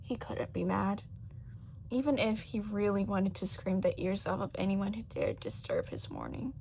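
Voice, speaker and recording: A woman reading a story as a narrator, in an expressive, dramatic scripted style. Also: medium pitch, normal speed, gravelly, slight background noise, low-quality recording. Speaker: audiobook narrator of fan fiction.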